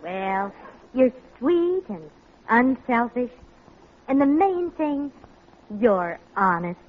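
An actress playing a sensible, principled American housewife, earnest and gently firm, in 1940s radio comedy style. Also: female voice, on the high side, very animated, slow pace, exaggerated voice. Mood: tender. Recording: muffled, noisy old radio recording.

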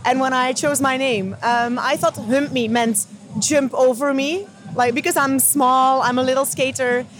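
A woman's voice: sits high, steady tone, measured pace. A woman from Belgium, a roller derby skater telling a story in a casual interview and acting out her own reactions. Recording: background noise.

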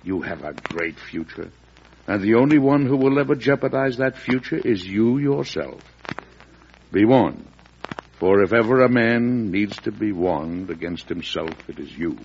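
An actor playing a fatherly, principled early American president in old-time radio drama, speaking with measured earnestness that turns oratorical when roused. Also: fairly low pitch, lively intonation. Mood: earnest warning. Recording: dull, noisy vintage radio recording.

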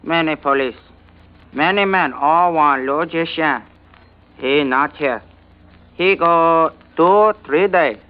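An actor playing a guarded Chinese shopkeeper with a put-on Chinese accent, speaking terse, halting pidgin in a 1940s radio drama. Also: male voice, a little high. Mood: guarded, stonewalling. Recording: muffled vintage radio recording.

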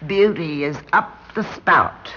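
A woman's voice: on the low side, takes it slowly, sharp tone. A woman playing a tipsy, sentimental older lady in an old-time radio drama, confiding and fretful in a dithery manner. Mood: plaintive. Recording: dull, hissy vintage radio recording.

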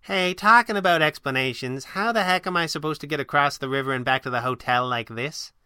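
Man doing a bright, wisecracking young heroine. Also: on the high side, fairly quick, smooth, no echo, studio quality, pitched above the performer's own voice. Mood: indignant. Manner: slangy, wisecracking. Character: spirited young woman masked heroine, wisecracking and teasing.

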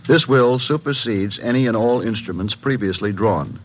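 An actor playing a skeptical, formal gentleman in an old-time radio drama, with a husky voice and precise, probing delivery. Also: on the low side, normal speed, slight background noise, close to an everyday voice, rough recording. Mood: formal reading.

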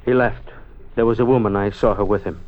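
An actor playing a curt, defensive brother-in-law in a classic radio drama. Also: male voice, fairly low pitch, fairly even intonation, brisk, lightly acted. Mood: defensive, uneasy. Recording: muffled, very noisy old radio recording.

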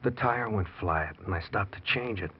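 Husky, scheming husband in radio noir. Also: male voice, on the low side, moderate pace. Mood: evasive concern. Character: scheming guilty husband feigning tender concern, secretly nervous. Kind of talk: old-time radio drama acting and confession narration. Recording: muffled vintage radio recording.

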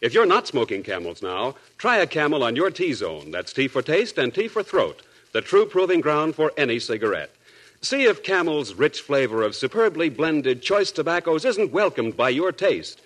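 A man reads a vintage radio commercial as its announcer. His voice sits a little high and moves in lively swings, persuasive and emphatic. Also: moderate pace. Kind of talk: 1940s radio advertisement narration.

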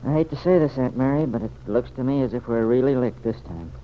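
A man's voice: medium pitch, quick pace, lightly acted. An actor playing a plainspoken, loyal younger man with a blunt, folksy manner in an old-time radio serial. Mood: resigned. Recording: muffled old radio recording, faint noise.

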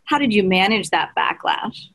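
A woman interviewing a guest, asking quick questions between short affirmations. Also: medium pitch, lively intonation, fairly bright tone.

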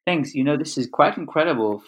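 An interviewer asking an author about her book, halting, with many restarts. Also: mid-range voice, lively intonation. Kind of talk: interview conversation.

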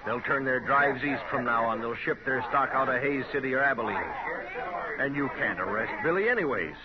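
A man's voice: medium pitch, expressive, brisk, lightly acted. A man with an American accent playing a fussy, appeasing frontier businessman, pleading in a 1950s radio drama style. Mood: agitated. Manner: pleading, placating. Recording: muffled 1950s radio recording, crowd behind.

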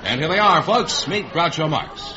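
A man's voice: on the high side, expressive, moderate pace, crowd noise. An American man working as announcer and sidekick on a 1950s radio quiz show. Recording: muffled old radio broadcast.

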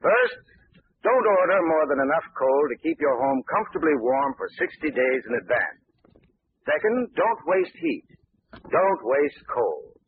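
A man's voice: a little high, breathy edge. A man reading a folksy, earnest sponsor message on an old radio broadcast. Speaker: sponsor spokesman. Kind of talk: scripted radio advert. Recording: tinny old radio broadcast, faint hiss.

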